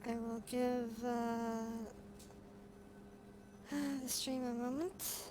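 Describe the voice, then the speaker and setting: Unhurried, high-voiced woman streamer, noisy hum behind. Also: flat delivery. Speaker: livestreamer, vtuber. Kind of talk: casual livestream chat while gaming. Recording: clearly noisy, humming background.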